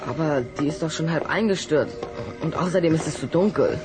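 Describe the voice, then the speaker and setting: An actor playing an anxious, hesitant human schoolboy in a children's radio play, stammering out worried questions. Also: male voice, on the high side, brisk, over music, close to an everyday voice. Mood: nervous.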